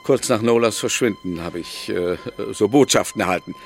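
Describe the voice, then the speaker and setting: A man playing an older writer accused of murder in a German radio play, defensive and halting. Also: on the low side, expressive, soft background music. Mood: halting, confessing. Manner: halting, pleading.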